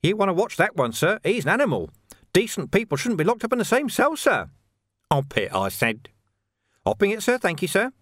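A man's voice: high-pitched, big pitch swings, fairly quick, a bit bright, no echo, clean studio sound, pitched above the performer's own voice, exaggerated voice. A man voicing a sly, cheerful male criminal who mocks and wheedles, laughing often. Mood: wheedling.

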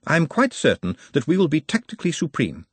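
A man's voice: fairly high pitch, huge pitch swings, quick pace, breathy edge, no echo, voice pushed up, heavily acted. A man voicing an earnest, precise male fantasy creature in a storybook, courteous and over-formal. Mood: confident, courteous.

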